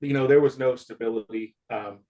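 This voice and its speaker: A man's voice: mid-range voice, steady tone, fairly quick, mellow. A man in his fifties, a former football player, casually reminiscing with old teammates in a rambling way, often laughing. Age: 50s.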